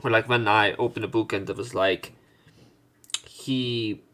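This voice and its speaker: A man's voice: on the low side, fairly even intonation, measured pace. A man doing let's play commentary, reading game text aloud and adding informal, rambling, hesitant remarks. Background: soft game music.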